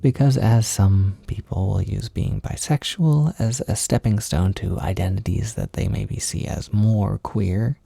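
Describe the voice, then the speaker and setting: A man with a lowish, deeply mellow and dark voice talks as if offering comfort one to one. He is gentle and halting, a little self-deprecating, with a gravelly fry at the edges of his words. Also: dry recording. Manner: gentle, reassuring. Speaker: audio creator giving comfort and validation. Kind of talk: intimate, informal comfort monologue.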